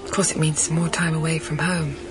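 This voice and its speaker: A woman playing a lonely, persistent colleague pursuing an old lover, soft and coaxing, in an intimate radio drama. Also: low-pitched, level tone, brisk, close to an everyday voice. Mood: longing, pressing.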